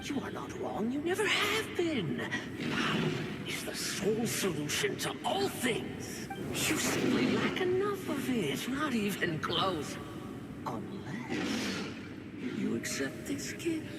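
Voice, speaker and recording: Sinister tempter spirit, high-pitched, swooping delivery, grandiose and condescending, game villain in noisy echo. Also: male voice, huge pitch swings, slow pace, full character voice. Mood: slow tempting. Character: sinister arrogant tempting dark spirit offering power. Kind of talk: scripted video game voice acting. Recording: heavily echoing, noisy game audio.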